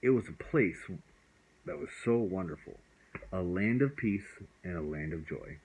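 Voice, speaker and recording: A man's voice: sits low, animated, measured pace, creaky, a little mellow, breathy edge, rough recording. A man reading a bedtime story aloud as storyteller, expressively and with the odd stumble over words.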